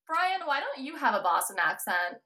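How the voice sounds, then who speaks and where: A woman's voice: high-pitched, moderate pace. A young woman co-hosting a podcast, chatting casually and animatedly, with lots of "like". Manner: animated, heavy use of "like". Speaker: podcast co-host. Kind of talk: casual chat.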